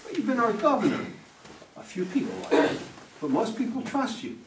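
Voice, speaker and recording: A man's voice: extremely high pitch, sing-song, brisk. A man playing a brash, cynical, pushy campaign manager in an amateur play reading, blunt and wisecracking. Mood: persuasive. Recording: distant, echoey room, faint noise.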